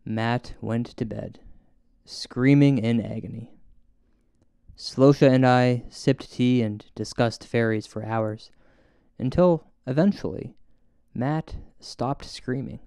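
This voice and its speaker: A man hosting and narrating a scripted comic horror story in a deadpan, mock-solemn way. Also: mid-range voice, slow pace, creaky, long pauses, clear, resonant tone, dry recording, clean studio sound. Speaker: host and storyteller. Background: music.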